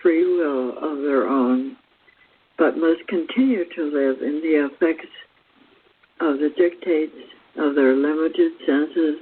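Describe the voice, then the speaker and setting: Slow, husky woman on phone-like audio. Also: low-pitched. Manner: deliberate, halting reading with stumbles. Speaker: host reading aloud. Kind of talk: reading a spiritual book aloud. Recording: thin phone-like radio audio.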